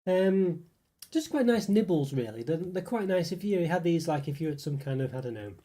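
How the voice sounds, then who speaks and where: A man's voice: on the high side, very animated, normal speed, mellow. A man with a congested voice from a cold, reviewing snacks in a rambling, casual live stream full of fillers. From United Kingdom. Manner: rambling, hedging, many fillers. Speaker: YouTube food reviewer.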